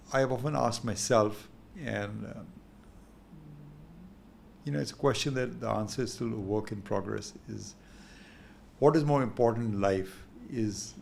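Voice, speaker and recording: A man in his sixties with an Indian English accent, talking thoughtfully as a guest in an unhurried interview. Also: medium pitch, creaky, a little mellow, a little hiss or hum. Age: 60s. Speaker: guest investor. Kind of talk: candid interview talk.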